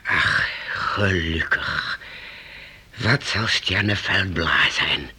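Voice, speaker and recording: Deep, raspy fatherly master. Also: drawn-out words, sharp tone, exaggerated voice. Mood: relieved, friendly. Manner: earnest, old-fashioned, avuncular speech. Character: fatherly, trusting older master, reassuring a boy. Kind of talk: radio drama acting.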